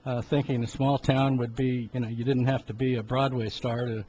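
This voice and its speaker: Halting man in his seventies, dull recording. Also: medium pitch, little pitch movement, dark tone. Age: 70s. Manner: halting, rambling, frequent throat clearing. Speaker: health talk host, medical practitioner. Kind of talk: informal health talk. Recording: dull lo-fi sound with background noise.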